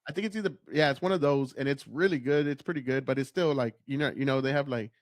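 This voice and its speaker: A man's voice: medium pitch, fast talker, no echo, clean studio sound. A man, a podcast guest talking loosely and profanely, full of dude and bro. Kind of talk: casual podcast chat.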